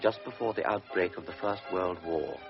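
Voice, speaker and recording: A man narrating a literary radio drama in a deliberate, solemn manner. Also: deep voice, creaky. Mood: measured. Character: measured storyteller of a pre-war tale. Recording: dull vintage radio recording with music.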